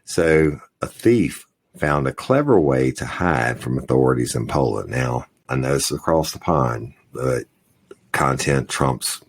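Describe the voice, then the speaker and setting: A man with a very deep, gravelly and somewhat husky voice co-hosts a crime news podcast. He reads the stories aloud and breaks in with profane jokes. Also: lively intonation, a little slow. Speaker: podcast co-host. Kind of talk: casual crime news chat.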